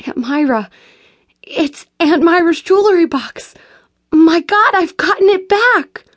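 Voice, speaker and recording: A woman reading an audiobook gives voice to a frantic, fearful young woman, pitching her speech up and pleading urgently. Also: moderate pace, close to an everyday voice.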